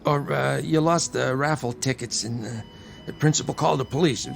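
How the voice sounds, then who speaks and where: A man acting in a radio drama as a beaten-up, protective older brother, streetwise and wisecracking, talking in a wry, halting way. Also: medium pitch, fairly even intonation, vocal fry, slight background noise. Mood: reassuring, earnest.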